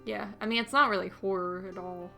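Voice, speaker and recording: A woman's voice: medium pitch, fairly even intonation, fairly quick, gravelly, pushed and bright. A woman co-hosting a movie podcast, chatting casually and giving understated, rambling film reviews over music.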